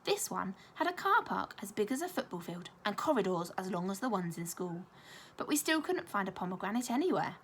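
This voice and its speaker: A woman reading a children's story aloud like a primary school teacher, expressive and clearly paced. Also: medium pitch, fairly quick, few pauses, slight background noise.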